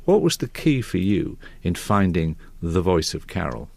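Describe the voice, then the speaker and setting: A man's voice: low voice, sing-song, normal speed, creaky, darkish tone, husky, no echo. An older British man presenting and interviewing in a radio magazine style. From north of England.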